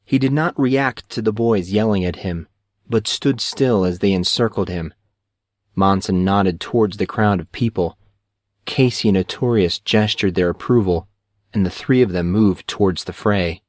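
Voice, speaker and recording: Low-pitched male audiobook narrator. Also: normal speed, a little mellow, dry recording, studio quality. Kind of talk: scripted dramatic story narration.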